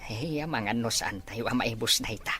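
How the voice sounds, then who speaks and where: An actor playing a chatty, middle-aged husband and father with a husky voice in an Ilocano audio drama. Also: mid-range voice, normal speed, pushed and bright, faint music behind, natural voice.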